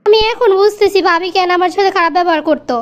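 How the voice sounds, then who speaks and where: A woman's voice: extremely high pitch, quick pace. A woman voicing a stubborn young wife in a cartoon family comedy, complaining and repetitive, with a Bangladeshi Bengali accent. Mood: overwhelmed, regretful. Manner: complaining, repeats words. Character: quarrelsome, stubborn young wife and sister-in-law.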